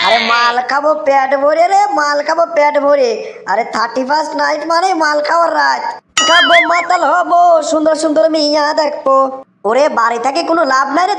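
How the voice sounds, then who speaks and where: A man plays a rowdy young drunkard from a comic cartoon, very high and squeaky, rattling along fast in a Bogura accent. His slangy, sing-song delivery comes through an echoey, noisy recording. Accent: Bogura, northern Bangladesh. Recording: roomy echo, clearly noisy track.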